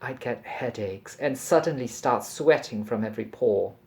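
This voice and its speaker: A man reading a passage from a novel aloud in a measured, deliberate and expressive storytelling manner. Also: mid-range voice, creaky.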